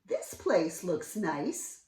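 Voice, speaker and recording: A woman's voice: on the low side, huge pitch swings, extremely slow, dark tone, heavily acted. A woman voicing a cheerful, easily pleased male storybook bird with an eager, sing-song manner. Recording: roomy, away from the mic.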